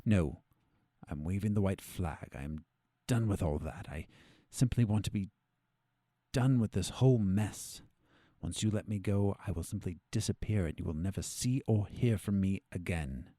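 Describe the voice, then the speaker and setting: A man playing a weary, calculating captive doctor in an audio drama, speaking in a measured, formal way. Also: deep voice, warm tone, no echo, clean studio sound. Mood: weary, bargaining.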